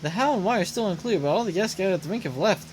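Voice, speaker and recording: A woman voicing an unflappable digital assistant in an anime game, formal, brisk and deadpan. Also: mid-range voice, a little hiss or hum, lightly acted. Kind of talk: voiced reading of anime game dialogue. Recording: loud game music behind voice.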